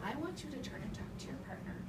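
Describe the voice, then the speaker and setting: Woman, early-years teacher, classroom read-aloud, simple repetitive phrasing, distant microphone, clearly noisy room. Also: sits high, expressive, quick pace, roomy sound. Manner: simple repetitive phrasing with emphasized key words. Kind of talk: classroom read-aloud with instructions to young children. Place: classroom with young children. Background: young children talking, room noise.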